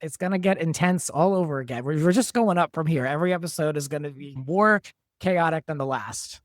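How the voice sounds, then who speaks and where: A man's voice: fairly high pitch, a little fast, no room sound, studio quality. A man talking casually as a panelist on a podcast about a drama. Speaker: podcast panelist from the show's team. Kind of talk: casual discussion of a drama episode.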